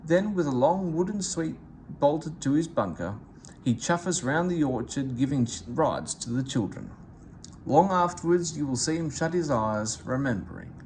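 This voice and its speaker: A man narrating a children's storybook aloud, in a gentle, expressive way. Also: fairly high pitch, normal speed, a little hiss or hum.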